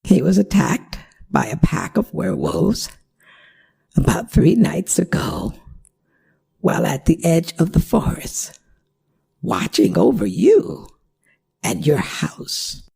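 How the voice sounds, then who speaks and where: Gravelly, slow-spoken motherly werewolf played by a woman. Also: lowish voice, wildly swooping pitch, dark tone, heavily acted. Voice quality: gravelly, breathy. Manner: slow, dramatic, addressing the listener tenderly. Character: motherly older female werewolf, worried, gentle, grieving for her son. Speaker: voice actor. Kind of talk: ASMR audio roleplay drama.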